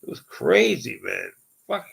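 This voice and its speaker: A man with a Canadian accent hosting a rambling, excitable live stream chat, his voice going hoarse. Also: mid-range voice, measured pace. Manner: rambling, excitable, exclaims often.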